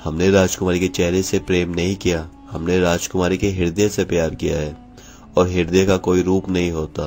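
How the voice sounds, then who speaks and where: A man's voice: deep voice, level tone, moderate pace, darkish tone, faint music behind. A man voicing an earnest, resolute prince in love, speaking formally in a cartoon fairy tale. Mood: defiant.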